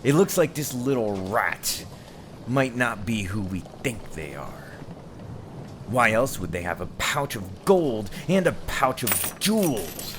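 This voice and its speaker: A man playing a ruthless, mocking pirate captain in a theatrical, drawn-out ASMR roleplay performance. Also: on the low side, background noise. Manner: theatrical, taunting, drawn-out delivery. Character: ruthless male pirate captain, mocking, menacing, mock-gracious. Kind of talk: scripted ASMR roleplay acting.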